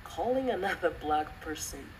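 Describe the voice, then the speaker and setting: A young woman with a low-pitched voice shares personal commentary. She speaks earnestly and reflectively, pausing as she works through her thoughts. The recording sounds distant from the mic, with clear background noise. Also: lively intonation. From Western country, of Rwandan descent. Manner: earnest, reflective, halting with pauses. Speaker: channel host giving commentary.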